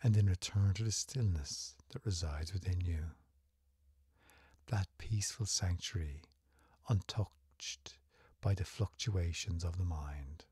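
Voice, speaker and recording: Deep, breathy man guiding a slow meditation. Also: no room sound, clean studio sound. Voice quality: breathy, husky, often near-whispered. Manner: soothing, gentle, unhurried. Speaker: meditation guide. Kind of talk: guided meditation narration.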